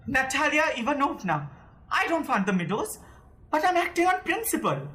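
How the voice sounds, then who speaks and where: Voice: high voice, very animated, moderate pace, bright, forceful tone, heavily acted. A young student actor with an Indian accent playing a nervous, fussy landowner suitor in an amateur audio drama, stammering and formal. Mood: insistent. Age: young adult.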